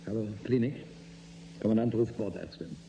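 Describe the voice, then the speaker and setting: An actor playing a sarcastic, fatalistic space station commander in a German science-fiction radio drama. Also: male voice, on the low side, moderate pace, mellow, dull audio. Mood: despairing. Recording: clear humming machine noise behind.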